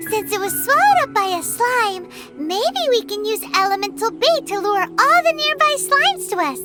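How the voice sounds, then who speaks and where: A woman's voice: very high-pitched, exaggerated voice. A woman voicing a tiny, chirpy, cheeky childlike fairy companion in a video game. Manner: refers to self by name, chirpy and childish. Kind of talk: video game character acting. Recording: game dialogue over loud music.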